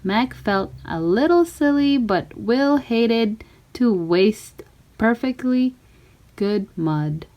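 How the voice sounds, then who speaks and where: A woman's voice: fairly high pitch, expressive, slow, muffled recording. A woman reading a children's story aloud, expressively acting out characters and sound words. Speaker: storybook reader.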